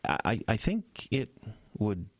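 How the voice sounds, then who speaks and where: A young small town pastor, stammers n trails off, earnest, close dry sound, audio drama. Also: male voice, lowish voice, very animated, slow, dry recording, heavily acted. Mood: distracted.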